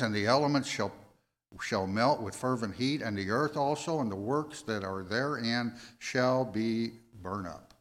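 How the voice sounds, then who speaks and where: An American man in his seventies preaching a sermon, going verse by verse in a halting, deliberate way and repeating phrases for emphasis. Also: lowish voice. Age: 70s. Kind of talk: sermon, verse-by-verse Bible exposition.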